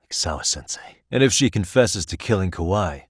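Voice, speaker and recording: A man playing a shrewd, level-headed private detective in his thirties for a video game, speaking in a measured, matter-of-fact, determined way. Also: low-pitched, animated, slightly rough, dry recording, clean studio sound. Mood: matter-of-fact, serious. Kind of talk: English video game voice acting.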